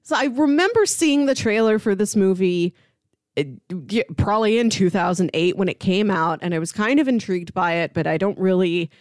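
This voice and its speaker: A woman with a medium-pitched voice co-hosts a casual film podcast. She talks like someone telling friends a story, in a dry recording with little room sound. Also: expressive, normal speed, darkish tone, dry recording. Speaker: podcast co-host.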